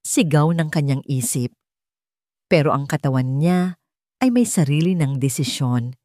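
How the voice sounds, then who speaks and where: A woman's voice: low voice, lively intonation, measured pace, dark tone, no room sound, clean studio sound. A woman with a Filipino accent reading a scripted dramatic story as an audiobook narrator.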